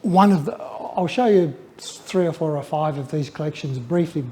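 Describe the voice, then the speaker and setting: Hesitant Australian man, mellow higher-pitched voice. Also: moderate pace, a little hiss or hum. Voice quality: flat, dull voice. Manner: hesitant, stammering over word starts, reflective. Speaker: guest speaker, former museum photography curator. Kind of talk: informal illustrated public talk.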